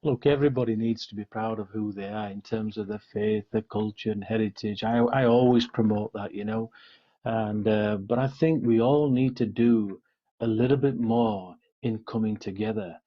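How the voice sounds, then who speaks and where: A man's voice: fairly low pitch, fairly even intonation, moderate pace, highs cut off. A middle-aged man with a Yorkshire accent speaking earnestly and conversationally as an interview guest, often adding "you know" and "sort of". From Yorkshire, England. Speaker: interview guest, police officer.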